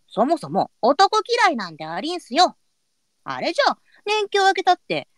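High-pitched, sharp-tongued young courtesan. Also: female voice, dry recording. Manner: old brothel courtesan speech.

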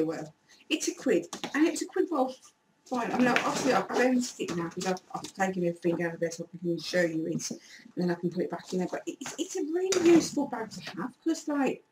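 A woman from England with a croaky voice, chatting in a rambling, animated way through a shopping haul vlog at home. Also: on the high side, a little fast, far from the mic, noisy background. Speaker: YouTuber. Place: small room indoors.

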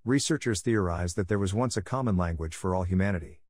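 A man with a fairly low voice reads out test sentences at a fast clip. The sound is dry and close, with no room to it. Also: darkish tone, no echo. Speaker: test prompt reader. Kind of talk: scripted sentence reading for an English test.

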